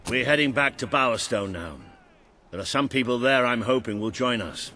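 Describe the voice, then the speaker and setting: An actor playing a gruff, loyal older veteran soldier and mentor in a video game, blunt and commanding. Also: male voice, mid-range voice, normal speed, natural voice. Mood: resolute. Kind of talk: video game character acting.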